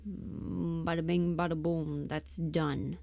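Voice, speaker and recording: A woman hosting a casual livestream, chatting in a halting, distracted way and half muttering to herself as she works. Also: low voice, flat delivery, vocal fry, warm tone. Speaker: livestream host and audio performer. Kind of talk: casual livestream chat, muttering while working at a computer.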